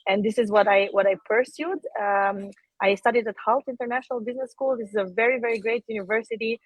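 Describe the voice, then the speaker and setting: A woman, telling her career story as an interview guest in a measured way, with frequent filler sounds. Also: a little high, fairly even intonation, dry recording, rough recording. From Albania. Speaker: guest speaker.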